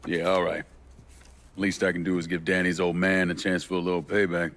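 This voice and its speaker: A man's voice: low voice, little pitch movement, brisk, a bit bright. An actor playing a terse, determined American man out for revenge in a video game, blunt and businesslike. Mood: grim, curt. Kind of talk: video game cutscene acting.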